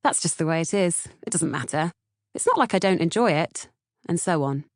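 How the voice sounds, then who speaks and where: A woman's voice: on the low side, lively intonation, brisk, no echo, studio quality. A woman with a British accent reading scripted audiobook narration. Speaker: author-narrator reading audiobook, podcast co-host.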